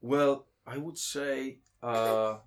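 A man's voice: mid-range voice, fairly even intonation, extremely slow. Hesitant man. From Poland. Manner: hesitant, many ums and restarts. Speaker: interview guest. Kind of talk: casual interview.

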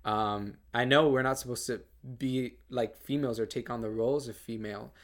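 Earnest soft-voiced man giving testimony. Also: mid-range voice, steady tone, moderate pace. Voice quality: soft, somewhat feminine-sounding voice. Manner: earnest, lots of fillers and repeated starts. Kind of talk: casual personal testimony.

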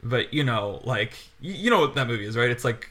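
A man's voice: medium pitch, big pitch swings, brisk, pushed and bright. A young man in casual podcast chat, telling a scattershot story and laughing often. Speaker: podcast co-host.

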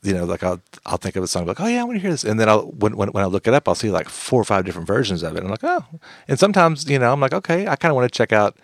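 A man with a fairly low voice hosts a podcast, talking in a rambling, friendly way and often restarting his sentences. The sound is close and dry. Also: sing-song, very fast, no echo. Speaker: podcast host. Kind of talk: casual podcast chat.

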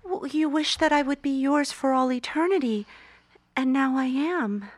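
A woman's voice: sits high, moderate pace, lightly acted. An actress playing a statue come to life as a sweet, naive, romantic young woman in an audio drama. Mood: sweet, eager.

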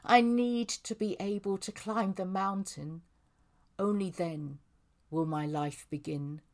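A woman's voice: medium pitch, steady tone, slow pace, darkish tone. A woman poet reading her own work aloud in emphatic, dramatic declarative lines.

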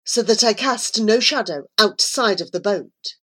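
A woman's voice: mid-range voice, lively intonation, unhurried, a bit bright. A woman voicing a desperate blind young man who speaks in a pleading, slightly raised voice. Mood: determined.

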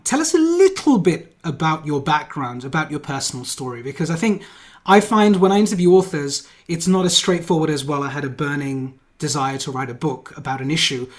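Male host, slightly high voice, eager and chatty, long rambling intros. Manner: enthusiastic, rambling with fillers and restarts. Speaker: host and founder of the show. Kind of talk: interview hosting.

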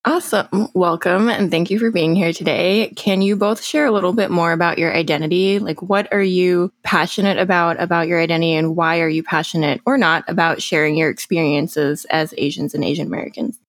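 Female host, scripted upbeat intro, slightly low pitch, dead dry room. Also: steady tone, fairly quick, few pauses, no echo. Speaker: podcast host. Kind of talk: scripted intro and interview questions.

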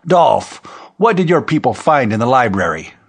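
A man voicing a loud, combative man in an audiobook, bellowing blunt challenges. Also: medium pitch, sing-song, moderate pace, slightly rough. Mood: challenging. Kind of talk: audiobook character voice.

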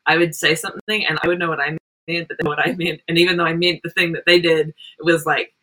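A woman's voice: fairly low pitch, level tone, fast, sharp tone, low-quality recording. A young adult woman with an Australian and New Zealand accent, a roller derby player chatting casually on a podcast and rambling with fillers. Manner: rambling, with frequent fillers and laughs. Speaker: roller derby jammer and captain.

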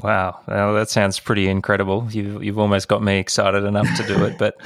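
A man's voice: lowish voice, completely flat delivery, brisk, no echo, studio quality. A man hosting a podcast, chatting casually and stumbling a little over his questions. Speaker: podcast host. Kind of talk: casual interview chat.

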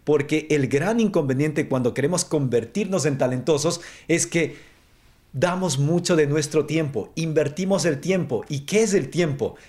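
A man hosting a conversational interview, explaining points in a measured way and posing rhetorical questions. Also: on the high side, a little mellow. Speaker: interview host.

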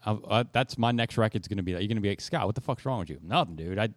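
A man with a fairly low voice banters as a podcast co-host, recorded dry and close. He jokes, swears freely and repeats his phrases for effect. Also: animated, rapid-fire, no echo.

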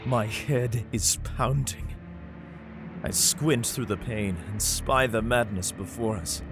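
An actor playing a grim, weary soldier who narrates his ordeal in an audio drama, grave and measured. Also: mid-range voice, over music, close to an everyday voice. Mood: strained, grave.

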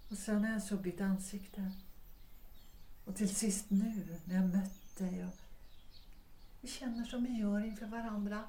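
An actress playing an anguished, yearning unhappy wife in a period audio drama, speaking fervently with dramatic pauses. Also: female voice, medium pitch, a little mellow, natural voice. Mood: tender, confiding. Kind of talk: period stage-play audio drama acting. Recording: roomy echo.

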